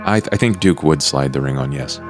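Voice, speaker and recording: A man's voice: a bass voice, a little fast, over music. A man talking casually as a player in a tabletop roleplaying game.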